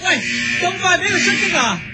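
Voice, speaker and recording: A man's voice: very high voice, sing-song, brisk, very noisy. A man playing a cocky, suspicious young superhero in a comic radio drama, grumbling and arguing whinily. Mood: indignant. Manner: whiny, argumentative.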